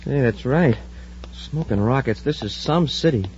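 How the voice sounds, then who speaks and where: A man's voice: medium pitch, animated, measured pace, a little mellow, lightly acted. Eager young space cadet, played by a man. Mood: amazed, curious. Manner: boyish, earnest, exclamatory. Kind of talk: 1950s radio adventure serial acting. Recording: muffled, noisy old radio recording with hum.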